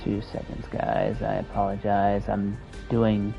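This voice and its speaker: Low gravelly man streaming a game. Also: very animated, measured pace, warm tone. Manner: muttering to himself, thinking aloud. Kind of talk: casual livestream gameplay commentary. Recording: dull lo-fi microphone, music behind. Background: game music.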